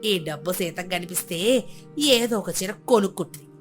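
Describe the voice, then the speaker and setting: Woman voicing a foolish old mother-in-law, fretful, muttering, storybook tale, lower than her own voice. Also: animated, normal speed, soft background music, natural voice. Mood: scheming. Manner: fretful, muttering to herself, then wailing in panic.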